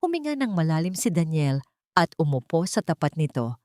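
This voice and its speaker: Low-pitched woman narrating a dramatic story. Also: big pitch swings, brisk, warm tone, dry recording, clean studio sound.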